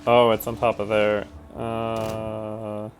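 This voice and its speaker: A man's voice: fairly low pitch, completely flat delivery, slow, slight background noise. A man streaming a video game with casual, wry, grumbling commentary.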